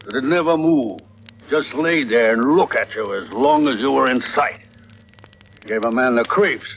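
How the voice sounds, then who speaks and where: An actor playing a stern, wary ship's captain with terse authority in a 1940s radio drama. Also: male voice, mid-range voice, moderate pace, lightly acted. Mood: ominous. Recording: vintage radio recording, faint noise.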